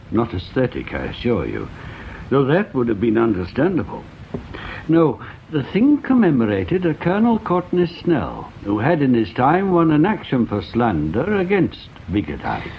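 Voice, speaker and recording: A thin, band-limited radio recording of an urbane English gentleman. He is wry and bookish, choosing words precisely, with very swooping, animated intonation. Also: mid-range voice, a little slow, vocal fry, highs cut off, slight background noise, exaggerated voice. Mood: wryly amused. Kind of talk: radio drama acting.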